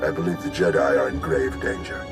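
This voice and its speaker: An actor playing a courteous, tea-loving Republic overseer in a video game, speaking in a genteel, formal, effusive way. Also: male voice, a bass voice, steady tone, a little fast, loud music behind the voice. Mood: grave, concerned. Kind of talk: video game cutscene acting.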